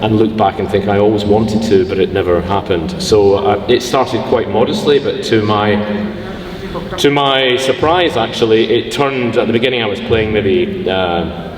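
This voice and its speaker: A middle-aged Scottish conductor telling his story in an interview, self-deprecating and halting with restarts. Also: on the low side. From Scotland. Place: concert hall stage. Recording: echoey hall, from a distance.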